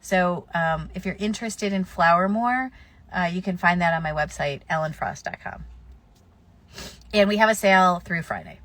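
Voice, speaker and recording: A woman's voice: fairly low pitch, expressive, normal speed, fairly bright tone. A woman florist with a slight cold answering viewer questions in a live stream, offering practical advice in a conversational way with plenty of fillers.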